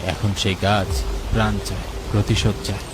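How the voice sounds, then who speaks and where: A man's voice: on the low side, level tone, normal speed, noisy background. A man narrating a scripted horror story as its storyteller.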